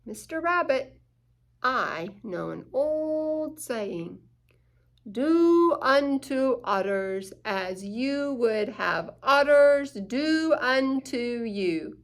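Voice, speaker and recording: A woman voicing a wise male owl from a children's storybook, explaining things in a deliberate, instructive way. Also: sits high, animated, heavily acted. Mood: wise, patient.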